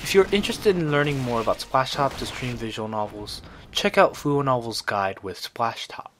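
A man's voice: mid-range voice, animated, normal speed, fairly bright tone, a little hiss or hum. A young man reading a scripted guide as a review voice-over. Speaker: video guide narrator. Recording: music behind the voice. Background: background music.

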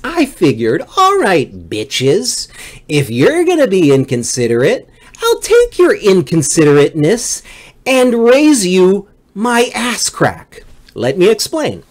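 A middle-aged man delivers a comedic storytelling monologue with very animated pitch, swinging from low mutters up to high, emphatic peaks. He rants in an exasperated way and swears freely as he goes. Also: takes it slowly. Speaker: livestream host.

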